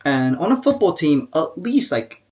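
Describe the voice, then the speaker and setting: A man with an American accent, co-hosting a podcast and telling a story in a casual, lively way with many fillers. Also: a little high, normal speed. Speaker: podcast co-host. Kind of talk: casual chat.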